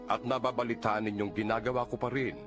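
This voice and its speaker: A man with a Filipino accent narrating an audio Bible in a solemn, earnest, measured dramatized reading. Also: medium pitch, steady tone. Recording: music under the voice. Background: background music.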